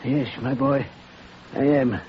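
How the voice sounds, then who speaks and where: Actor as a slow-spoken, ailing old man, remorseful. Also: mid-range voice, fairly even intonation, close to an everyday voice. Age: older. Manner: slow, confessional, weighty delivery. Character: old, ailing, remorseful English playwright on his deathbed. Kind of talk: 1940s radio drama acting. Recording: muffled, hissy old radio recording.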